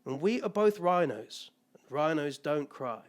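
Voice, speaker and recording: A man's voice: a little high, animated, unhurried. A man voicing a small, eager young male rhino while reading a picture book aloud. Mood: pleading.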